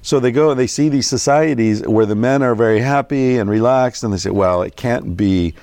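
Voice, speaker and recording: A middle-aged man hosting an interview, chatty and curious, asking questions in a relaxed conversational way. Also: mid-range voice, brisk, no echo. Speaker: podcast host.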